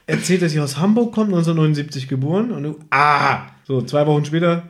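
Higher-pitched man chatting as podcast host. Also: fast, a bit bright. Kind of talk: casual chat reviewing radio plays.

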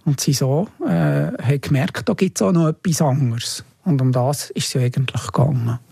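A man with a Swiss German accent reminiscing casually as an interview guest, haltingly, repeating words as he recalls. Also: fairly high pitch, gravelly, warm tone, husky, no echo. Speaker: interview guest, former radio team member.

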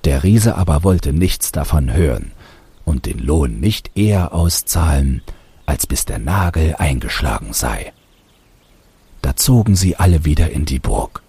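A man narrates a fairy tale in a very deep, heavily husky voice with a lot of fry. He takes his time and shapes the storybook lines expressively. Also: sing-song, a little slow, warm tone, no echo. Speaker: author reading a fairy tale.

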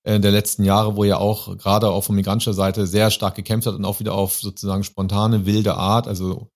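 Low-voiced male podcast host. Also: level tone, fairly quick, darkish tone. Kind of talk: semi-spontaneous interview moderation.

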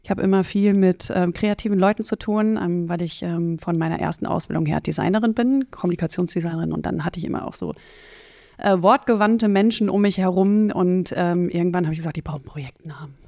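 A middle-aged woman from North Rhine-Westphalia, speaking casually as an interview guest, with many filled pauses. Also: fairly low pitch, mellow, no echo, clean studio sound. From North Rhine-Westphalia, Germany. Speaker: podcast guest, finance coach.

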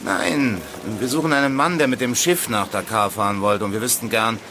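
An actor playing an earnest young fiancé turned investigator in a 1980s horror audio drama. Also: male voice, medium pitch, a little fast, natural voice. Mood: earnest, polite. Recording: radio play clip, noisy street ambience.

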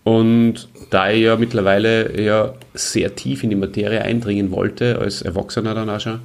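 A man's voice: on the low side, flat delivery, normal speed, vocal fry. A middle-aged Austrian man co-hosting a podcast, reminiscing in casual, conversational speech. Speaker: podcast co-host.

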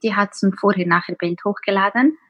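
A woman in her twenties with a Swiss German accent, talking openly as a podcast guest, often pausing on filler sounds. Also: mid-range voice, fairly bright tone, no room sound, lo-fi sound. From Switzerland. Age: 20s. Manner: open, many filler sounds. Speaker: podcast guest, teacher and blogger. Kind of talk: casual interview talk.

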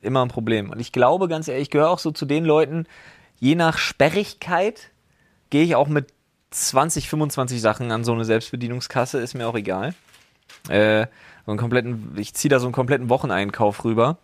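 A man's voice: medium pitch, a little fast, no room sound. A man in his thirties co-hosting a casual podcast chat, skeptical and teasing in an informal way. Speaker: podcast co-host.

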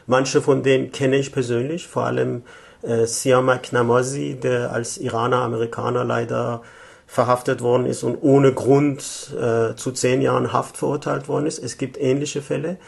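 A man in his fifties from Iran, a native Persian speaker, answering interview questions thoughtfully with frequent pauses and restarts. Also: medium pitch, level tone, creaky. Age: 50s. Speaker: interview guest, economic consultant.

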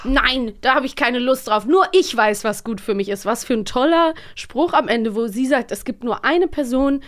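Higher-voiced, enthusiastic woman podcast host. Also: normal speed. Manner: enthusiastic, emphatic. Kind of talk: casual chat about radio plays.

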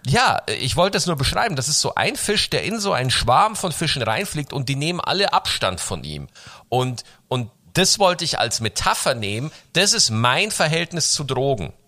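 A young man hosts a casual podcast chat and jokes around in slangy speech. His pitch swings widely and his tone is bright, though he has a cold. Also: normal speed. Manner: animated, jokey, slangy. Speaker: podcast host.

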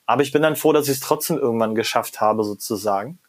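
A middle-aged German man, a professor, answering interview questions in a reflective, animated way and often ending phrases with "ja?" or "ne?". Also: medium pitch, quick pace. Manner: reflective, animated, frequent "ja?" and "ne?" tags. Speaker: guest, professor of creativity and design.

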